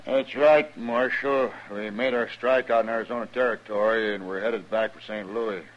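A man's voice: lowish voice, steady tone, normal speed, close to an everyday voice. Man playing a brash, mouthy western miner. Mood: defensive. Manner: folksy, brash. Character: cocky, mouthy male miner, drifter's sidekick. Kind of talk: 1950s radio western acting. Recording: muffled old radio drama recording.

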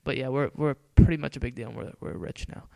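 A man's voice: mid-range voice, lively intonation, fast, gravelly, dark tone, dry recording. A young man co-hosting a casual podcast, joking and telling stories with lots of "right?" checks. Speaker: podcast co-host. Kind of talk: casual chat and storytelling.

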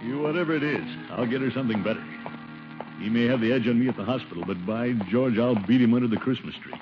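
A man's voice: mid-range voice, fast, lightly acted. An actor playing a blustery, pompous, competitive middle-aged man in a 1950s radio sitcom. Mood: determined. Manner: blustery, emphatic. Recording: dull old radio recording with music.

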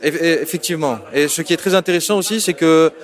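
A man's voice: fairly high pitch, fast talker. A man from Lebanon, a festival attendee, speaking enthusiastically and searching for words.

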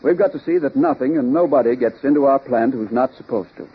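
A man's voice: mid-range voice, brisk, slightly rough, natural voice. An actor playing an earnest working-man guard giving a testimonial in an old radio advertisement. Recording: dull old radio recording.

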